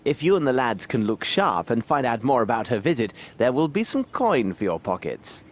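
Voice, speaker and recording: An actor playing a brilliant, world-weary Victorian detective in a video game, with measured, theatrical, formal phrasing. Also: male voice, medium pitch, very animated. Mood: courteous, curious. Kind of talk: video game cutscene acting.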